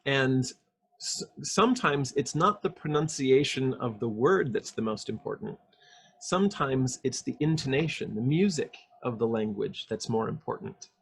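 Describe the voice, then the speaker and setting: A man's voice: mid-range voice, lively intonation, moderate pace, vocal fry, breathy edge. A man coaching on English pronunciation over a video call, explaining patiently and demonstrating sounds. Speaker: English speech coach being interviewed. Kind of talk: casual video call interview.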